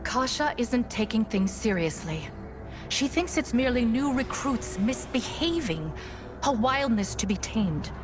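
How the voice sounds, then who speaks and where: A woman's voice: fairly high pitch, animated, unhurried. A troubled, suspicious warrior woman from a video game, grave and intense, music behind her. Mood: grave, concerned. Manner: grave, earnest. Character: stern, vigilant, haunted warrior sister, suspicious and troubled. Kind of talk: dramatic video game voice acting. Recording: music underneath the voice.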